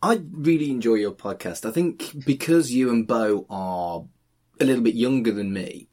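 A man's voice: medium pitch, lively intonation, normal speed, warm tone. A man in his forties hosting a podcast interview, enthusiastic and playful. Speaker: podcast host. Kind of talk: casual interview.

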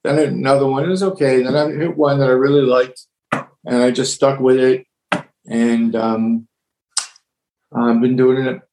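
A guest from the United States tells his story in a relaxed, halting way, with plenty of restarts and a ready laugh. His voice sits at an average male pitch. The room around him is echoey. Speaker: guest, laughter yoga leader. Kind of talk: casual conversation. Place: home with household noises.

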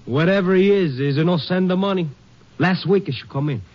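Man playing chatty foreign-accented shoe repairer, insistent broken English, higher-set voice, dull vintage radio sound. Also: moderate pace, lightly acted. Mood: talkative, matter-of-fact. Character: chatty immigrant cobbler, suspicious shopkeeper with broken English. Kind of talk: 1950s radio drama acting. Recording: muffled vintage radio recording.

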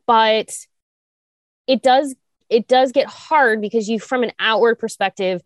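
A young woman co-hosting a talk show, speaking candidly with vocal fry, lots of fillers, and sharp jumps up in pitch when she makes a point. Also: unhurried, dry recording, studio quality. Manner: animated, restarts and fillers, rises high when emphatic. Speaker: podcast co-host. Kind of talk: candid conversational chat.

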